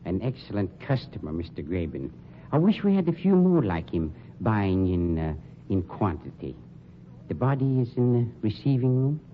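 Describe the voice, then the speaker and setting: Casting: polished, sarcastic undertaker boss, low and lively voice, old radio crime drama. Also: male voice. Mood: dry sarcasm. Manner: polished, sarcastic, condescending, deliberate diction. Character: suave, sardonic, polished male funeral home director, shady boss. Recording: dull, noisy old radio recording.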